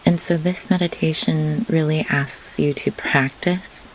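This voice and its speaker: A woman leading a guided meditation in measured, deliberate phrases with pauses, as a host who works as a grief doula. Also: deep voice, poor audio. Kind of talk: slow guided meditation instruction. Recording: clear pink-noise rain hiss behind. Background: pink noise like steady rain.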